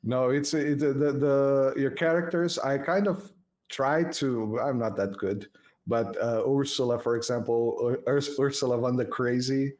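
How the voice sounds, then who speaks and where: A man with a middle-pitched voice hosts a live stream. He speaks English haltingly and stumbles over and repeats his words as he searches for them. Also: a little mellow. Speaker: host. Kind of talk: casual live-stream chat.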